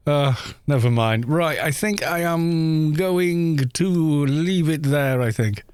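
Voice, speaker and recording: A man's voice: a little high, a little mellow, smooth, dry recording. A man chatting casually on a game livestream, rambling along with self-deprecating good humour.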